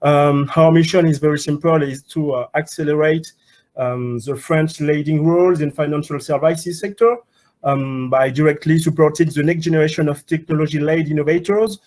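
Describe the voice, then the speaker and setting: Man with a higher voice presenting. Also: fairly even intonation, moderate pace, a little mellow. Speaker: deputy CEO presenting his organization. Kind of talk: webinar presentation.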